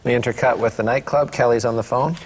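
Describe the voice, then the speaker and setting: A man with a medium-pitched voice reads out script directions at a table read. He announces scenes and action as the script reader. There is faint noise in the recording. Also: steady tone, fast talker.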